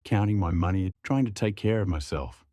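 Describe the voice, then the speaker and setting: Low, quiet young male narrator. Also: normal speed, no echo, clean studio sound. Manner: quiet, reflective. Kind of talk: scripted first-person drama narration.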